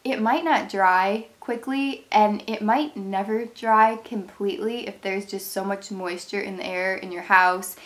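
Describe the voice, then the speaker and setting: A woman's voice: medium pitch, measured pace, hardly any pauses. Brief: young woman, congested cold voice, chatty and rambling craft vlogger, camera mic in a bedroom. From Ohio, USA. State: stuffed up, nasal from a cold. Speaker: craft channel host. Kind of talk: casual product review vlog. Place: bedroom, indoors.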